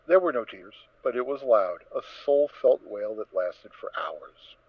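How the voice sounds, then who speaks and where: A man's voice: medium pitch, animated, measured pace, no room sound, muffled recording. A man narrating a scripted story in a dramatic reading style.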